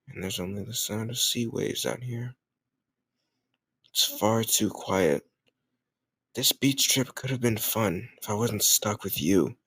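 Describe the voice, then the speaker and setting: A man's voice: fairly low pitch, dry recording. A man playing a cocky, popular teenage football captain bully with a secret tender side, teasing and hesitant in an intimate audio roleplay spoken to the listener. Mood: quieter, conflicted. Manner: teasing, smug, hesitant murmured asides.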